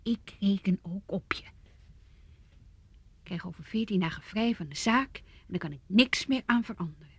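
A firm young woman in a radio play, fed up with excuses. Her husky voice presses and reproaches, recorded close and dry. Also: mid-range voice, lively intonation, a little slow, long pauses, no room sound. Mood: reproachful. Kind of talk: Dutch radio play acting.

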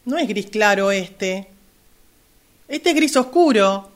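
A woman's voice: medium pitch, lively intonation, slow. A woman with a Rioplatense Argentine accent playing a polite but exacting fitting-room customer in a radio play.

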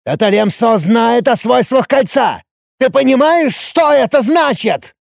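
A man voicing an enraged, panicking fantasy nobleman who shouts and snarls his curses in an audiobook. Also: high-pitched, big pitch swings, normal speed, creaky, no echo, studio quality, voice pushed up, exaggerated voice. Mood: furious, alarmed.

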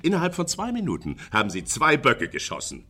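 An actor playing a jealous, critical police inspector with a dry, formal manner in an old radio drama. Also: male voice, medium pitch, huge pitch swings, fairly quick, pushed and bright, breathy edge, full character voice. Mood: sceptical, needling. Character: Scotland Yard inspector, male, jealous, needling rival. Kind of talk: 1960s radio drama acting.

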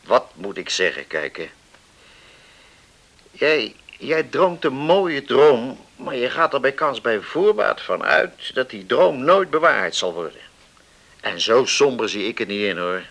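Radio play role: contented middle-aged bachelor islander, creaky voice with a firm bright edge, calm, plain-spoken reassurer. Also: male voice, mid-range voice, unhurried, full character voice. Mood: reassuring, frank. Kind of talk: Dutch radio drama acting.

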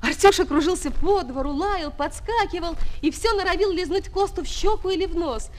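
A woman playing a schoolteacher who narrates a children's audio play with expressive storytelling. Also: extremely high pitch, a little fast. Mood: absorbed storytelling. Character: female schoolteacher quietly following and admiring her pupil. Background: music.

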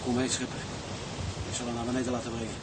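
An actor playing a sober, matter-of-fact ship's mate in a Dutch drama. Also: male voice, completely flat delivery, quick pace, natural voice. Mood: gentle urgency. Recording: very loud rumbling engine noise.

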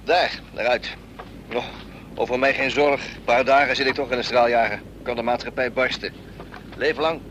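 An actor plays a seasoned male airline pilot, gruff and unimpressed. His medium-pitched voice is laconic and wry, with short grunted hums. Clear engine noise runs behind this radio drama recording. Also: steady tone, normal speed, fairly bright tone, lightly acted. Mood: brisk, carefree. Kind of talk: Dutch radio play acting. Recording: noisy radio play, engine ambience.

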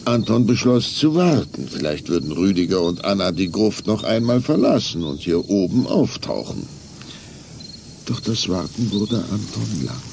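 A man narrating a children's radio play in a suspenseful, measured storytelling manner. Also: on the low side, big pitch swings, gravelly, background noise.

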